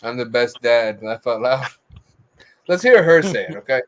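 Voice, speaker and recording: Male, average pitch, teasing, informal group-chat banter. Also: expressive, a little slow, crowd noise.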